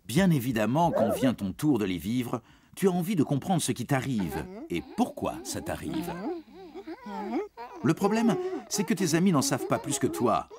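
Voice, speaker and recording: A man's voice: medium pitch, wildly swooping pitch, normal speed, a crowd in the background. A man reading scripted educational narration for children, explaining things in a reassuring way and speaking directly to the young listener.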